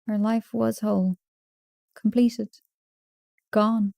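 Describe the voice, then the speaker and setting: A woman reciting poetry in a measured, deliberate way, pausing between short phrases. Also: medium pitch, little pitch movement, vocal fry, mellow, no room sound, studio quality. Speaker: poetry reader.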